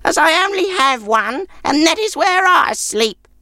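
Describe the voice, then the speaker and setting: A woman's voice: very high voice, lively intonation, measured pace, pushed and bright, no room sound, clean studio sound, soft background music, exaggerated voice. A woman voicing a sour, grudging, sceptical old peasant woman in a folk tale, with a scornful, snappish manner.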